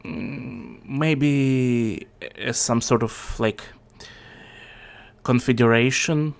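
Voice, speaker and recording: Man, calm and steady. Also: mid-range voice, extremely slow, gravelly. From Russia. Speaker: channel host. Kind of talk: casual video chat.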